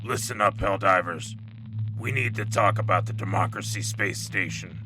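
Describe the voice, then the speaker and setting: A man's voice: deep voice, normal speed, noisy background, close to an everyday voice. A man playing a stern, urgent military officer giving a commanding, clipped briefing on a wartime radio show.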